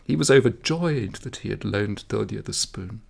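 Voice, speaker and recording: A man reading a comic folk tale aloud as a storyteller, in a measured, expressive way. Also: lowish voice, creaky, breathy edge. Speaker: audiobook reader, storyteller.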